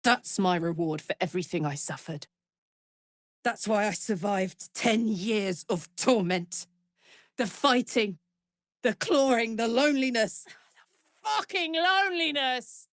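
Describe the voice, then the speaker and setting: A woman's voice: fairly high pitch, a little slow, dry recording, studio quality, natural voice. An actress playing a brash, big-hearted tiefling barbarian woman in a video game, blunt and swaggering in manner. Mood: bitter, anguished. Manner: blunt, slangy, swearing, swaggering.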